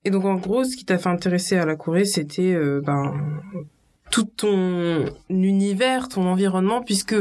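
A woman's voice: on the low side, moderate pace, darkish tone. A woman interviewing casually, asking questions and summing up the answers. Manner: asks questions and sums up the other speaker's answers.

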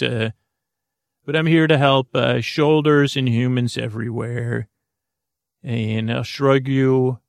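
A man hosting a podcast, rambling through a playful, meandering intro full of restarts and deadpan jokes. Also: medium pitch, creaky, no echo, studio quality. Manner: meandering, self-interrupting, playful deadpan humor. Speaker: podcast host.

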